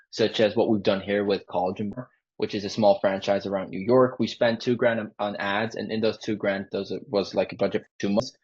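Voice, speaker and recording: A man giving an informal sales pitch on a live call, peppering his explanations with "like". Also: a little mellow, husky. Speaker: sales closer for a marketing agency. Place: online sales call with screen sharing. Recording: dull lo-fi call audio.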